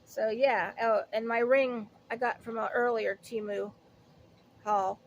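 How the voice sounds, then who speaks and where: A woman's voice: fairly high pitch, fairly even intonation, unhurried, highs cut off, slight background noise. A woman in her late fifties chatting casually through a shopping haul, rambling and interrupting herself as she goes. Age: late 50s. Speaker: haul vlogger. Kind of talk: casual product haul chat.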